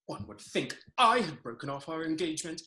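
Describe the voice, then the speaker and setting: A woman's voice: low-pitched, very animated, fairly quick, pushed and bright, full character voice. A woman playing a lovesick young army captain in a staged reading of a Victorian farce, formal and wounded.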